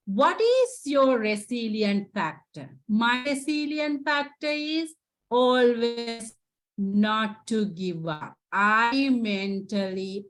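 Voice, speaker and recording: A woman from Sri Lanka with a Sri Lankan accent, a Sinhala native speaker, giving an encouraging lecture as a psychotherapist and often tagging her points with "right?". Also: medium pitch. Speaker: psychotherapist presenter. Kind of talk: lecture presentation to students.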